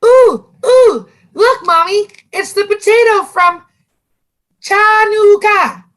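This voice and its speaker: A woman playing an excitable small child in a comic radio play, squealing and drawing out the words. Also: very high voice, takes it slowly, exaggerated voice. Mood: excited.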